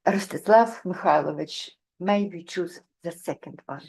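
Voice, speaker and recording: Woman scholar on a panel, broken-ish english, keeps searching for words, lowish voice. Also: creaky, a little mellow, husky. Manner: halting, searches for words, non-native English grammar. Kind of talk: panel discussion answer.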